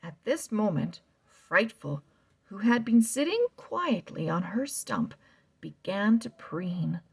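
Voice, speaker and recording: A woman reading a children's novel aloud, slow and expressive, sometimes dropping to a soft whisper. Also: mid-range voice, vocal fry, husky. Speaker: read-aloud narrator.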